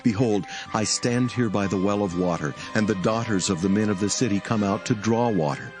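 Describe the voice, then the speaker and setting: Actor as a low-voiced humble old-world servant. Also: male voice, a little fast, lightly acted. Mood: prayerful. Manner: earnest, formal. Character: humble, devout eldest household servant. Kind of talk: dramatized scripture acting. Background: music.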